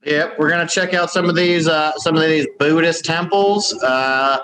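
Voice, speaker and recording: A man doing a deadpan comedy bit in an exaggerated Southern US drawl, from West Virginia. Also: fairly high pitch, moderate pace, background noise. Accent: put-on Southern US drawl. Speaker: comedy correspondent.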